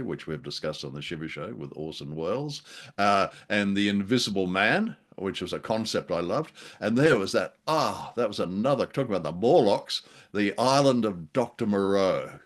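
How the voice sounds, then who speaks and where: A man co-hosting a casual podcast chat, talking in a jokey, rambling, hesitant way. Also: low-pitched. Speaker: podcast co-host.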